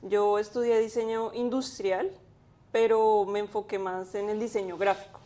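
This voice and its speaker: A woman's voice: mid-range voice, steady tone, moderate pace, mellow. A woman, a graphic designer guest telling her story thoughtfully with many fillers. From Colombia. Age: 20s. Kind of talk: interview.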